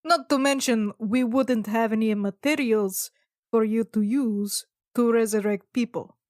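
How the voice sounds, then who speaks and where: A woman's voice: on the high side, fairly even intonation, a little slow, a little mellow, dry recording, studio quality, lightly acted. A woman playing a blunt, supportive friend in an improvised tabletop game, butting in with short remarks. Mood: blunt, scolding. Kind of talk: in-character improvised tabletop roleplay.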